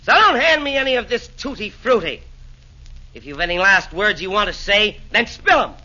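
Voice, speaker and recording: A man's voice: high voice, expressive, normal speed, sharp tone, full character voice. An actor playing a boastful, blustering henchman of the devil, declaiming in theatrical verse in a 1940s radio play. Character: boastful rhyming devil's henchman, would-be assassin. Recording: dull lo-fi 1940s radio recording.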